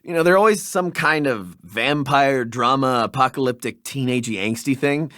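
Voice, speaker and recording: A man's voice: medium pitch, normal speed, a bit bright, no echo, clean studio sound, close to an everyday voice. An actor playing a cagey, awkward young male intern in a video game, hesitant and evasive. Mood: awkward, guarded.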